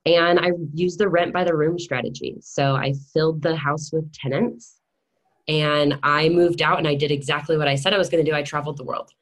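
Podcast guest: American woman, 30s, low register, conversational storyteller. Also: steady tone, fairly quick, low-quality recording. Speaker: podcast guest, real estate investor.

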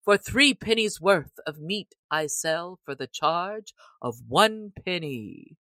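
A woman's voice: on the low side, animated, takes it slowly, pushed and bright, no room sound, exaggerated voice. A woman voicing a jolly, bold young male outlaw posing as a butcher, speaking merrily in archaic storybook English. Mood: merry, lilting. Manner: merry, lusty street-hawker calls.

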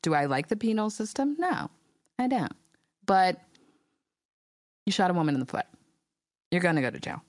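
A woman's voice: on the low side, normal speed, long pauses, no echo, studio quality. A woman hosting a podcast, chatting casually with slang and sass, reading ads and giving her opinion. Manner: sassy, animated commentary with slang. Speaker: podcast host. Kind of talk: casual podcast talk with ad reads.

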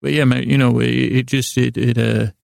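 Male sleep-podcast host: heavy vocal fry, dry close sound, languid rambling delivery full of false starts. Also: medium pitch, flat delivery, no room sound. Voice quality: gravelly, creaky. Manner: meandering, halting, stammering with false starts. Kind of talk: rambling bedtime monologue to help listeners sleep.

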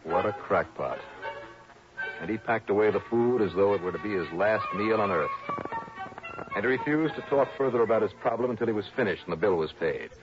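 An actor playing an unflappable, skeptical insurance investigator in a 1960s radio drama, dry and matter-of-fact in dialogue and narration. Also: male voice, on the low side, animated, brisk, over music, close to an everyday voice. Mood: wry, narrating. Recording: dull vintage radio recording.

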